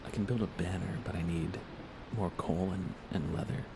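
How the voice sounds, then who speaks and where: A man speaking softly and gently in an unhurried ASMR style while chatting on a live gaming stream, his voice hushed and at times close to a whisper. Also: deep voice, vocal fry, noisy background. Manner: gentle, unhurried, thinking aloud. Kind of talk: soft-spoken ASMR gaming stream chat.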